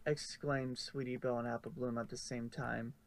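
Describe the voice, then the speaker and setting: A man's voice: mid-range voice, flat delivery, normal speed, vocal fry. A man narrating a fan-written story aloud in a storybook style. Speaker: narrator of a fan fiction reading. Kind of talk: storybook narration with casual asides.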